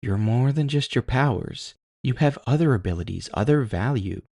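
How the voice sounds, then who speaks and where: A fierce, caring tough woman played by a male narrator in a cyberpunk audio story. The voice has a gravelly grain and speaks bluntly, challenging and swearing. It sounds close and dry. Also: mid-range voice, expressive, moderate pace, darkish tone, no room sound, voice pushed up. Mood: firm, reassuring.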